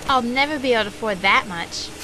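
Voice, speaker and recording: A woman's voice: on the high side, fast talker, noisy background, close to an everyday voice. A woman playing a mother who is a private eye's client in an amateur radio drama. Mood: thankful, then dismayed. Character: grateful mother hiring a private eye. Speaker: amateur voice actor and credits reader.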